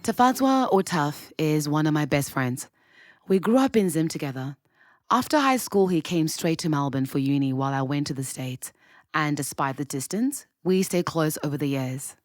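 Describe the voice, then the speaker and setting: An actress playing an anxious young woman with a Zimbabwean accent in a naturalistic audio drama, expressive and conversational. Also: sits low, normal speed, dry recording, clean studio sound, faint music behind. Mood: wry narrating. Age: young adult.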